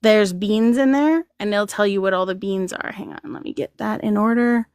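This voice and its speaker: A woman's voice: mid-range voice, normal speed, darkish tone, clean tone, no room sound, studio quality. A woman hosting a casual livestream, rambling, laughing a lot and reading out viewers' comments. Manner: giggly, rambling, reads chat aloud. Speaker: livestream host.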